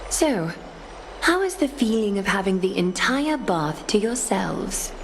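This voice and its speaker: A woman voicing an elegant, authoritative noblewoman in a video game, measured, formal and coolly reproving. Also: medium pitch, very animated, a little hiss or hum. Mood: composed. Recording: music under the voice.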